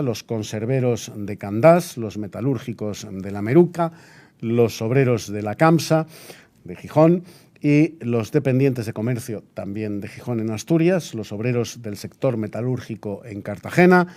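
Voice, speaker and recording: A man's voice: mid-range voice, lively intonation, normal speed, dark tone, lightly acted. A man playing a solemn, indignant Spanish radio newsreader of 1936, reading a formal, declamatory bulletin. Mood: formal, grave. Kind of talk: scripted period radio news bulletin.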